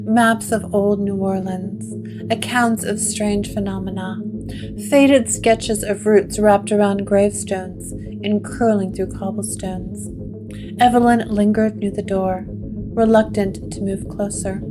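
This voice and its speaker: Slow, deliberate woman narrator. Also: mid-range voice, steady tone, low-quality recording. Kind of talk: scripted audio drama narration. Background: guitar music.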